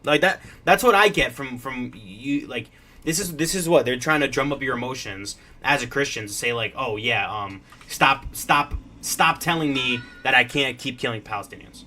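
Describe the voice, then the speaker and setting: A man's voice: medium pitch, moderate pace, bright, forceful tone. A man giving casual reaction commentary in a blunt, argumentative, swearing manner. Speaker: commentator reacting to a show clip.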